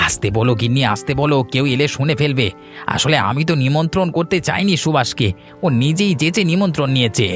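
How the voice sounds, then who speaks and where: Cartoon folk tale: evasive, hesitant village husband scared of his wife, male actor, slightly high, music behind. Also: a little fast, lightly acted. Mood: pleading. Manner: hesitant, stammering. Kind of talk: Bengali cartoon fairy tale dialogue.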